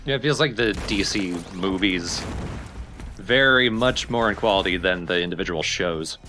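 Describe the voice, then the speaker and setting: A man's voice: low-pitched, moderate pace, pushed and bright, slight background noise. A man casually bantering as a co-commentator on a video game let's play. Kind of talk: casual banter over gameplay. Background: game music.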